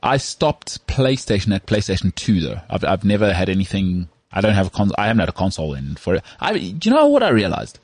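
An English man with a low, husky voice trades teasing jokes in loose podcast banter. He often restarts his sentences mid-thought. The recording is dry and close. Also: very animated, fairly quick, hardly any pauses, darkish tone, dry recording. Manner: joking, teasing, stumbling restarts. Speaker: podcast co-host. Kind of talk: casual banter.

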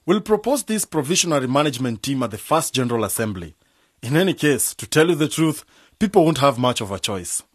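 An actor playing a practical, assured cooperative organiser in a radio drama, speaking in a methodical, matter-of-fact way. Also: male voice, medium pitch, sing-song, a little fast, no echo, clean studio sound. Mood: firm.

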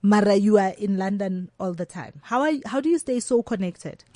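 A woman's voice: medium pitch, normal speed, dark tone, dry recording, clean studio sound. South African woman asking probing questions. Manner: probing, conversational questions mixing English with Zulu. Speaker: interviewer host. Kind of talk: interview conversation.

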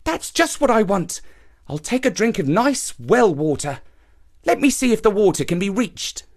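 A man voicing a lost young hunter in a folktale, speaking high and plaintive. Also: big pitch swings, moderate pace. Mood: hopeful.

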